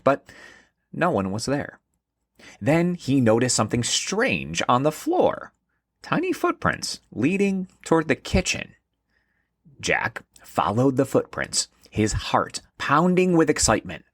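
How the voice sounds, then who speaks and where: A male narrator reads a scripted story for children with expressive, swooping delivery. His voice sits a little low and has a husky grain. The sound is very dry, with no room around it. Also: a little slow, no echo. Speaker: host and narrator.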